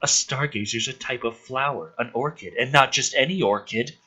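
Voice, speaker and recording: A man reading the part of an intense scientist earnestly explaining a theory from a film script, in a measured, hushed, deliberate way. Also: medium pitch, husky, voice pushed down.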